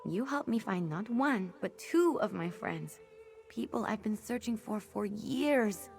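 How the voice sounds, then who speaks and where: An earnest young adventurer in a video game. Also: female voice, medium pitch, unhurried, creaky, soft background music. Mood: relieved, grateful. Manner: earnest, expressive, heartfelt. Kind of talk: video game character dialogue.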